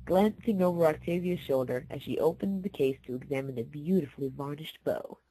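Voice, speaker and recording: A woman's voice: low voice, brisk, warm tone, breathy edge, dry recording, low-quality recording. A woman reading scripted story narration aloud as the narrator.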